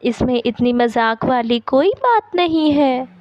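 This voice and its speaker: Woman voicing a very high, sweet young daughter-in-law. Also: animated, measured pace, dry recording, muffled recording. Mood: gently earnest. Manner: soft-hearted, deferential. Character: sweet, meek young Indian daughter-in-law, patient and kind. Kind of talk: Hindi moral-story cartoon dialogue.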